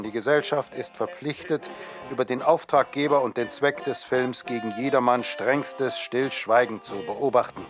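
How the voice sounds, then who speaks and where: A man giving a formal scripted reading of documents in a measured, official way. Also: medium pitch. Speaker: reader of historical documents.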